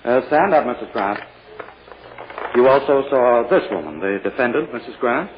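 A man playing an insistent, self-satisfied prosecutor who questions in a halting, courtly, officious way, as in 1940s radio drama. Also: medium pitch, animated, lightly acted. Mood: probing, controlled. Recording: dull, noisy old-time radio recording.

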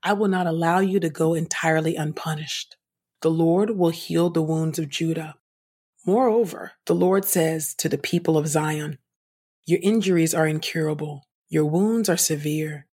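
A woman reading scripture aloud as a podcast host, in a measured, declarative way. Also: deep voice, a little mellow, husky.

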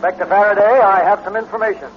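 An American actor playing an eager, streetwise small-time crook in a 1940s radio drama, talking in slang. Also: male voice, high-pitched, fairly even intonation, quick pace, loud music behind the voice, natural voice. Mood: sly. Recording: muffled, noisy old radio recording.

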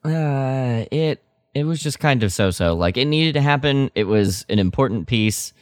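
A man co-hosting a podcast, chatting informally and thinking aloud in a halting way. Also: mid-range voice, animated, no echo. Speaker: podcast co-host. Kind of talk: casual chat, media discussion.

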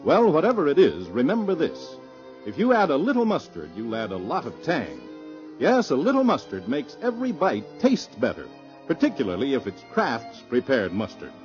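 A man's voice: medium pitch, wildly swooping pitch, normal speed, a little mellow, highs cut off, soft background music. An American man reading a scripted 1950s radio commercial on an old recording. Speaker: commercial announcer.

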